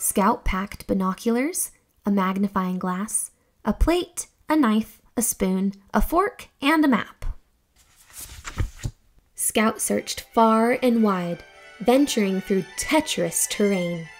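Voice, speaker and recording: A young woman hosting a children's read-aloud, reading a storybook in an expressive, deliberate, exclamatory way. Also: mid-range voice, soft background music.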